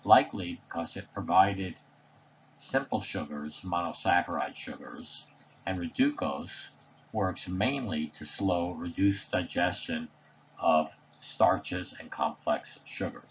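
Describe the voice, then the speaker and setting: A man reading a scripted slide presentation voice-over in a measured, formal, technical manner. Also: low-pitched, slightly rough, dull audio. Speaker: presenter narrating study results.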